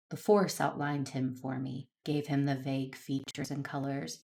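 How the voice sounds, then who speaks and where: Low female voice, woman acting as resolute embittered Jedi warrior, solemn, earnest, audio drama. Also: darkish tone. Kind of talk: first-person narration and dialogue in audio drama.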